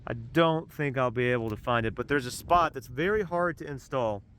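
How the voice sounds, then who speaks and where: A man reviewing a car part for his vlog, talking outdoors with a halting, rambling delivery full of ums and uhs. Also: medium pitch, clean tone. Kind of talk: casual product review vlog. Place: outdoors in strong wind. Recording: loud wind noise on the mic. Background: gusting wind.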